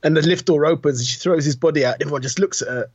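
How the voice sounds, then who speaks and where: Podcast co-host: male, husky, dry close sound, eager slangy banter. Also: fairly high pitch, rapid-fire, darkish tone, dry recording, highs cut off. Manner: slangy, eager, repeats himself in agreement. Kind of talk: casual banter.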